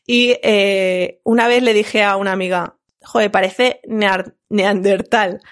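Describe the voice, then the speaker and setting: A woman's voice: mid-range voice, steady tone, slow pace. A woman from La Mancha with a half Extremaduran, half Andalusian accent, co-hosting a casual podcast chat, laughing often and restarting her sentences. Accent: Spanish, part Extremaduran, part Andalusian. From Castilla-La Mancha, Spain. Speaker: podcast co-host.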